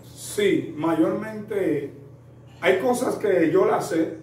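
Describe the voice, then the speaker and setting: A Dominican man in his thirties with a somewhat high voice, answering as a preacher in an earnest, rambling way full of fillers. He sounds set back from the mic in a roomy space with a faint hiss behind him. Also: extremely slow, a little mellow. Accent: Dominican Spanish. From Dominican Republic. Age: 30s. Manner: earnest, addresses listener as usted, frequent fillers. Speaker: preacher guest. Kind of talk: storytelling interview answers. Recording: roomy echo, distant mic, faint noise.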